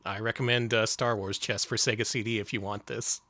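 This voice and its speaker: A man's voice: on the low side, level tone, a little fast, pushed and bright, no room sound. A man co-hosting a retro game stream, casual and sarcastic, laughing often and swearing. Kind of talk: casual gaming chat.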